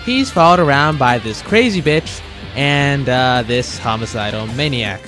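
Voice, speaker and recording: Male host, casual review narration, wry and jokey, average pitch, guitar music prominent behind. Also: animated, a little slow. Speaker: host of a top-list video.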